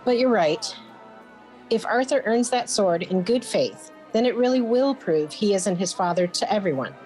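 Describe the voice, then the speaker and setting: A woman's voice: mid-range voice, animated, normal speed, a little mellow, faint music behind, close to an everyday voice, low-quality recording. An actress playing a proud, haughty, forthright noble queen in a fantasy radio play. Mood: grudging resolve.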